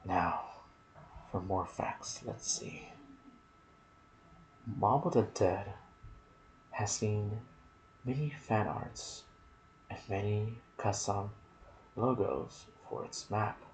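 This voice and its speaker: Slow, hushed, low-voiced man doing gaming ASMR. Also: a little hiss or hum, low-quality recording. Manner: soft-spoken, hushed, lapsing into whispers. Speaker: video host and gaming content creator. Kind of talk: ASMR video game lore commentary.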